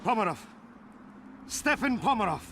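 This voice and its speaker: Very high-pitched, frantic criminal. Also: male voice, sing-song, normal speed, creaky, slight background noise, heavily acted. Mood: desperate pleading. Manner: shouting, urgent, agitated. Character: ruthless, frantic male criminal middleman. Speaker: actor in a spy action game. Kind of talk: cinematic video game acting. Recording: loud music under the voice.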